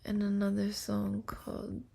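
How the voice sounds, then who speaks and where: A young woman streamer whispering slowly and softly in a relaxed sleep stream, talking into a laptop microphone. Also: medium pitch. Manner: soft unvoiced whisper, slow and breathy. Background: guitar.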